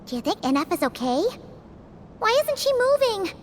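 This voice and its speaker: A woman voicing a small, excitable, loyal childlike fairy companion in a video game, squeaky and exclamatory. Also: moderate pace, a little hiss or hum. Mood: worried. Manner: exclamatory, dramatic. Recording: loud music behind the voice.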